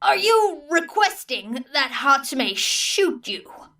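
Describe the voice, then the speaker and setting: A woman's voice: high-pitched, sing-song, slow pace, bright, forceful tone, distant mic, pitched above the performer's own voice, exaggerated voice. Woman putting on a weary, exasperated male teacher. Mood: alarmed.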